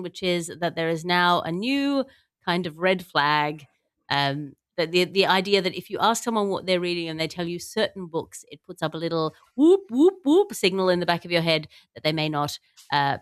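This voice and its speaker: A woman's voice: fairly low pitch, fairly bright tone, no room sound, clean studio sound. A woman hosting a comedy panel podcast, chatting in a wry, digressive and mock-grandiose way. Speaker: podcast host.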